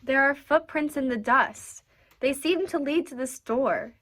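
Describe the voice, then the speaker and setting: A woman's voice: sits high, unhurried, fairly bright tone. A woman playing a take-charge, wisecracking studio worker in a radio play. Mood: brisk, wry.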